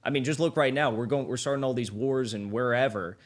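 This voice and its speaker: A man's voice: mid-range voice, brisk. A man as a livestream guest, arguing politics in a hesitant way that restarts phrases often.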